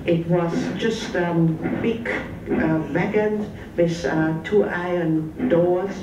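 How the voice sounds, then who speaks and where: An older woman giving recorded testimony as a survivor, speaking slowly and haltingly with many pauses and fillers. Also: fairly low pitch, steady tone, echoey room, far from the mic, muffled recording, music in the background. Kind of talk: recorded first-person testimony.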